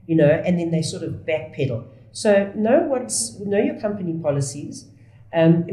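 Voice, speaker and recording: Earnest South African woman, low and mellow. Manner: earnest, restarts often, speeds up and slows down. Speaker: guest, whistleblower. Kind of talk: candid conversational interview. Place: small studio room. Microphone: studio desk mic. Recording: roomy studio echo.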